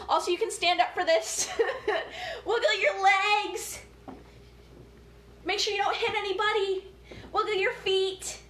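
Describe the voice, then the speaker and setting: A woman with a high voice hosts an interactive story time for young children. She speaks casually and playfully, coaxing her listeners along like a chatty educator. The room is quite echoey, with a light noise behind her. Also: pushed and bright.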